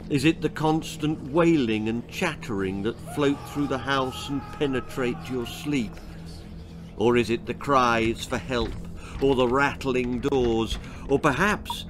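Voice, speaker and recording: A man reading a children's comic horror story aloud in a theatrical, suspenseful, deliberate storytelling style. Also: mid-range voice. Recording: music behind the voice. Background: music.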